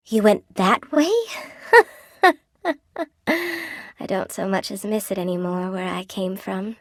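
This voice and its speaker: An actress voicing a lonely, proud spider woman, a cursed weaver in a video game, speaking softly with old-fashioned courtesy and a wry edge. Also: medium pitch, dry recording, studio quality. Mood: wistful, friendly. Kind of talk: video game character dialogue.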